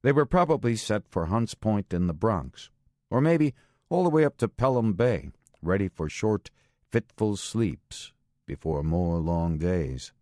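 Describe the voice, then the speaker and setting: A man with an American accent reads a first-person thriller audiobook in a deliberate, terse manner with clipped phrasing. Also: fairly low pitch, lively intonation, a little mellow, no echo, studio quality. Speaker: audiobook narrator. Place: studio.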